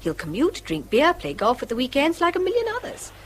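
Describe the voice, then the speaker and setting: Practical wife, high, expressive voice. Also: female voice, fairly quick, slight background noise. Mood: reasoning. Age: middle-aged. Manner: articulate, reasoning, pointed. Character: reasonable, exasperated mother and wife, practical-minded. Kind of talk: stage-style radio drama acting.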